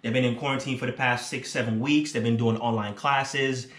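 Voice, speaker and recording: A man's voice: mid-range voice, fairly even intonation, fairly quick, fairly bright tone. A young man hosts a casual home cooking vlog, talking straight to the camera. His delivery is chatty and upbeat, and he keeps circling back to his main points. He sounds like he is recorded on the camera's own mic at home. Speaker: cooking vlogger. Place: living room sofa, then home kitchen.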